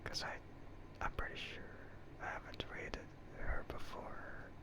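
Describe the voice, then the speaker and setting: Slow, whispering ASMR streamer. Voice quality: soft breathy whisper. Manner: rambling, hesitant, self-deprecating. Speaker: livestreamer host. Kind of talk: whispered ASMR livestream chat.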